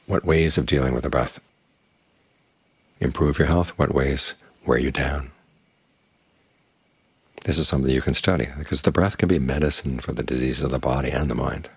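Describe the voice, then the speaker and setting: Very deep, husky-voiced older man giving a talk. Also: moderate pace, long pauses, no echo. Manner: calm, plain-spoken, uses homely images. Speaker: Buddhist monk teacher. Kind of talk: evening dharma talk.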